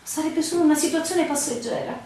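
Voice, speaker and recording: An actress playing an anxious, devoted young fiancée in a radio drama, earnest and pleading in a theatrical way. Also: female voice, high voice, fairly quick, mellow, lightly acted. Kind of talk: Italian radio drama acting. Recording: very echoey, distant, faintly noisy recording.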